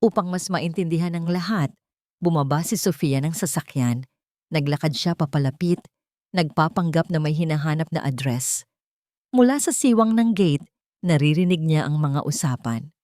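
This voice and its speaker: A woman's voice: low-pitched, moderate pace, mellow, dry recording, studio quality. A woman reading scripted dramatic narration as the storyteller of a romantic tale.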